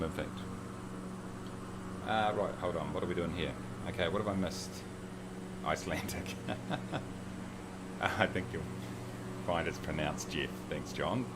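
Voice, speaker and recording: A low-voiced man talks loosely and jokes through a livestream, reading viewers' comments out as he goes. A steady hum runs under his home mic. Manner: chatty and joking, reads viewer comments aloud. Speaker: streamer. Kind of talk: casual livestream chat while flying a sim.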